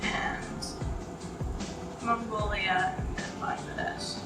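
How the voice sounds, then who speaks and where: A woman's voice: mid-range voice, fairly even intonation, very slow. A young woman from Canada giving easygoing interview answers. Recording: roomy, distant, loud music bed.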